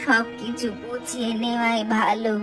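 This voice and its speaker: A woman's voice: on the high side, level tone, moderate pace, distant mic, over music, natural voice. A woman voicing a caring, fretful middle-aged mother in a cartoon story. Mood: concerned, caring. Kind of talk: Bengali cartoon story dialogue.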